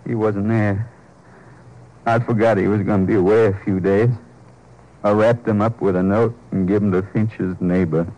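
An actor playing a bitter, defeated ex-convict with an American accent and a gruff working-class drawl, in a 1950s radio drama. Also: male voice, on the low side, fairly even intonation, normal speed, mellow, dull audio, close to an everyday voice. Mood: resigned. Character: bitter, defeated Texas ex-convict, defensive, loves his wife. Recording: old 1950s radio broadcast recording.

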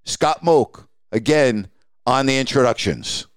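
A man's voice: mid-range voice, animated, takes it slowly, background noise. A man hosting a podcast, talking reflectively on his own in the style of a sports announcer reminiscing. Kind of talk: reflective solo podcast talk.

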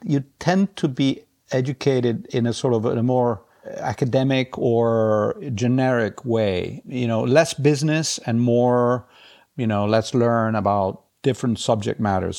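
Guy from south america and europe, creaky gravelly voice, casual interview chat, kinda hesitant and self deprecating. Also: mid-range voice, darkish tone. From grew up across South America and Europe, born in Brazil. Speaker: interview guest, head of quantitative investing. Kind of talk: casual conversational storytelling.

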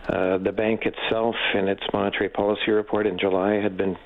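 Male expert guest for a radio interview: low-pitched, gravelly, hesitant, thinking aloud in stammered phrases. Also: level tone, dry recording, narrow, tinny audio. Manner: hesitant, halting, frequent stammered repeats. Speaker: economics professor, expert guest. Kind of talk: unscripted radio interview answers.

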